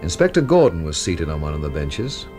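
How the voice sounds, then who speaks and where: Casting: dry mystery narrator, low-pitched, lively inflection, music behind, understated radio drama style. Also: male voice, fairly quick. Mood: reflective. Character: wry, thoughtful male narrator-hero of a mystery.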